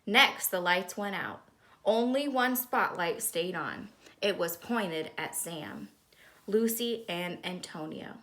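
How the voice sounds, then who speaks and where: Female storybook reader, bright forceful voice, dramatic and suspenseful narration for young listeners. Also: lowish voice, slow. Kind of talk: expressive children's read-aloud narration.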